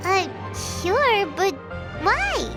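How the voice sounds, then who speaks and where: Teeny squeaky game fairy girl, super high, draws words out, music behind. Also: sing-song, extremely slow, exaggerated voice. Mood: curious. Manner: squeaky, exclaiming, childlike. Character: tiny squeaky excitable childlike female fairy companion. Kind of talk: video game voice acting.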